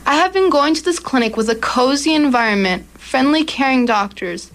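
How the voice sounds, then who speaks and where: A teenage girl reading her own commentary for a youth radio program in an earnest, steady way. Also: sits high, normal speed. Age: teens. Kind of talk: read commentary.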